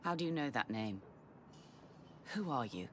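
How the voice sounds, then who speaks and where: A woman's voice: a bass voice, expressive, moderate pace, a bit bright, slight background noise. An actress playing a guarded, anxious middle-aged mother, wary and pleading, in a video game. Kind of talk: video game cutscene acting.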